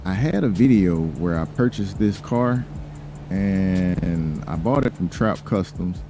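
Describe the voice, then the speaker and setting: Man, American accent, very low pitch, dark mellow tone, slangy rambling gamer commentary. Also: expressive, slow, soft background music. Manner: slangy, laid-back, rambling. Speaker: gaming YouTuber hosting a roleplay video. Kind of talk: casual chatty gameplay commentary.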